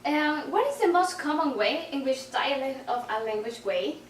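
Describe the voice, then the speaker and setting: A woman's voice: high-pitched, animated, normal speed. A woman conducting an interview, reading questions in a hesitant way in a dim room at home. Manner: hesitant, with fillers and restarts. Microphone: far camera. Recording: echoey room, distant mic, faint noise.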